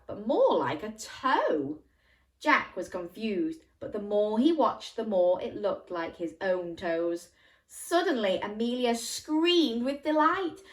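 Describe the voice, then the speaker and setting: Woman reading playfully to young children. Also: mid-range voice. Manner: animated, playful asides with questions to the listener. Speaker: children's storyteller. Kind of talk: interactive bedtime story reading for young children.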